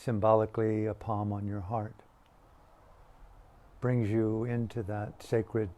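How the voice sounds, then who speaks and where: Very slow, low-voiced man guiding meditation. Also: level tone. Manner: hushed, deliberate, long pauses between short phrases. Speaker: meditation teacher leading a guided meditation.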